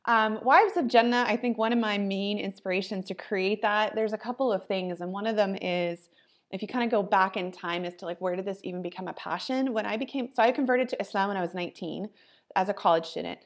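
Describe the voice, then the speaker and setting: A woman in her forties, raised in Ohio, speaks as a podcast guest. Her voice sits at a middle pitch, and she tells her story in a loose, conversational way, full of ums and likes. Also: fairly quick. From Ohio, US Midwest. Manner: warm, chatty storytelling with frequent ums and likes. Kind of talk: casual interview chat.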